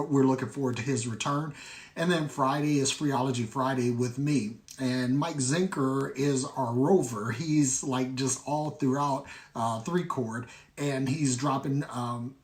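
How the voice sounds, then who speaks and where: A middle-aged American man with a slightly raspy voice, hosting an online ministry livestream in a casual, folksy way and addressing viewers directly. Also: medium pitch. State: raspy voice today. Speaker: online ministry host and teacher. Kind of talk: casual livestream talk with shout-outs.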